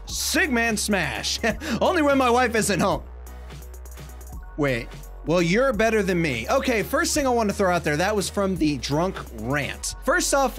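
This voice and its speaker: A man in his thirties, a gaming vlogger reading viewer comments in casual chat, slightly stuffy from a cold, animated and gesturing in a small foam-lined room. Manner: animated, gesturing a lot, swings between excited and low asides. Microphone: desk mic, webcam framing at head and shoulders.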